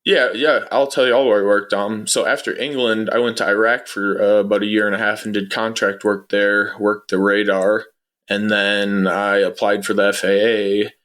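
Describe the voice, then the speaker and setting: A man's voice: fairly low pitch, level tone, moderate pace, a bit bright. A man answering interview questions as a guest in a casual, matter-of-fact, conversational way. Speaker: interview guest, former air traffic controller.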